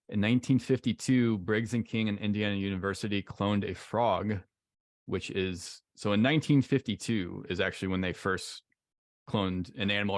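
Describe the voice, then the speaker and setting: Deep-voiced relaxed man. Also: no echo, studio quality. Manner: relaxed, halting, says "like" and "basically" a lot. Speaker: podcast co-host. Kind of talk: casual webinar talk and slide reading.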